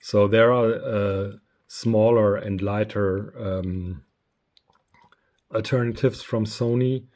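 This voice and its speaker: Slow-spoken, halting man. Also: on the low side, steady tone, darkish tone. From Germany. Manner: halting, hesitant delivery with frequent pauses. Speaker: radio reviewer. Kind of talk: casual unscripted product review.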